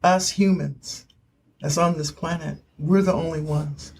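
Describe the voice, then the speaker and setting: A woman's voice: sits low, steady tone, unhurried, darkish tone, slight background noise. An older woman giving an earnest spoken testimony in short, emphatic, preacherly phrases. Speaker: author giving her testimony.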